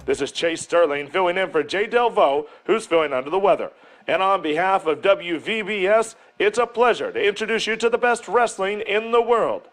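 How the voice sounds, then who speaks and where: A high-voiced, upbeat wrestling announcer from 1980s television. He is polished and showy, welcoming viewers over music in an audio drama. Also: animated, moderate pace, a bit bright.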